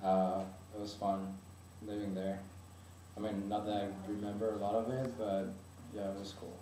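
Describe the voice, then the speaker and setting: A young man from France, a native French speaker, talks in English in a low, mellow voice and often stops to restart a sentence. He sits well back from the mic in an echoey room with a soft hiss under him. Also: level tone, normal speed, recorded from a distance. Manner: hesitant, many fillers and restarts. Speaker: interviewee. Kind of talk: casual interview answers.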